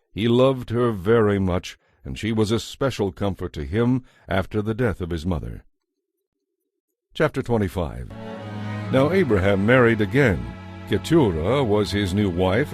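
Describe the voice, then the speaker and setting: Low-voiced man narrating scripture. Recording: music behind the voice.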